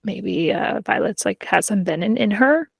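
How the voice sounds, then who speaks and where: Podcast co-host, female. Medium pitch, chatty and frank, conversational filler, very dry recording. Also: expressive, normal speed, vocal fry, husky, no room sound. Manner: informal, candid, heavy use of "like". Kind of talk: casual book chat between friends.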